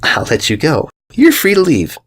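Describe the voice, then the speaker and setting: Dude doing a ditzy happy girl pony, high airy voice, bouncy pitch, totally innocent, storybook style. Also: huge pitch swings, normal speed, a bit bright, slightly rough, full character voice. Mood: bright, cheerful. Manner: airy, naive, upbeat. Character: dim-witted, cheerful, innocent adult female cartoon pony. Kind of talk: storybook narration character voice.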